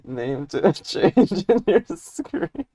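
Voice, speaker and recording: A woman's voice: lowish voice, very animated, very slow, dark tone, slightly rough, no echo. A woman chatting casually on a live stream, with faint noise and clicks.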